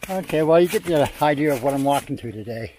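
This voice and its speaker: Older guy hiking in the snow, chatting to his camera, easygoing, bit of outdoor hiss. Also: on the high side. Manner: relaxed, rambling, pauses while walking. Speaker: hiker vlogging a trail. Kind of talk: casual narration while hiking. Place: snowy foggy forest trail outdoors. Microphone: handheld camera at arm's length.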